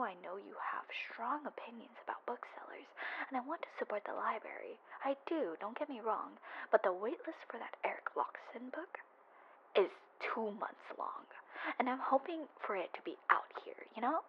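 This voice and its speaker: A woman playing a cocky but affectionate female mafia boss in an intimate roleplay voice message, teasing and often dropping to a breathy murmur. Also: fairly high pitch, expressive, moderate pace, whispered. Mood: teasing, sheepish. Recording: dull, lo-fi voice-message sound.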